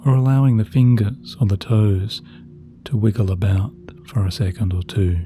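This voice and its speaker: A man narrating a sleep meditation in a soft, gentle, soothing voice with slow, measured phrasing. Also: fairly low pitch, steady tone. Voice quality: soft, very dark and mellow. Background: relaxing background music.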